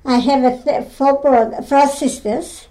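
A woman in her nineties from Greece with a Greek accent, recounting her mill work in an oral history interview at home, halting with many fillers and simple non-native grammar. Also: sits high, steady tone, mellow, low-quality recording. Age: 90s. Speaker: interviewee, retired mill weaver.

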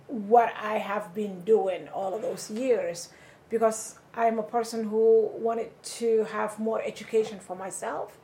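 A woman's voice: medium pitch, fairly even intonation, measured pace, dark tone, rough recording. A middle-aged woman from Somalia, interviewed for an oral history, telling her story in a conversational way that livens up when she quotes people.

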